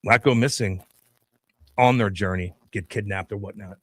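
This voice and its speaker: Forceful, emphatic radio co-host man. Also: lowish voice, moderate pace, dry recording. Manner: loud, emphatic, repeats himself to argue. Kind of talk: casual banter.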